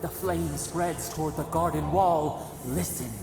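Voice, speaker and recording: An actor voicing an ancient, grieving spirit in a video game, speaking as we in cryptic, halting, solemn phrases with a gravelly voice. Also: mid-range voice. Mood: ominous, warning. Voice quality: gravelly, creaking. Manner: slow, cryptic, incantatory, halting short phrases. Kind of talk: solemn dramatic game voice acting. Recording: heavily reverberant echoing voice, music behind.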